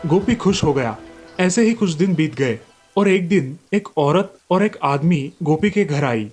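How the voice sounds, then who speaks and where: A man's voice: a little high, lively intonation, measured pace, darkish tone, slightly rough. A man narrating a scripted fairy tale as a storyteller. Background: light background music.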